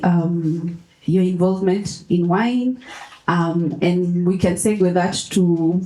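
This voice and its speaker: An interviewer asks unhurried questions in a mellow, dark-toned voice, pausing often on fillers. The pace is very slow. The sound has a roomy echo. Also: medium pitch. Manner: slow, hesitant, many fillers.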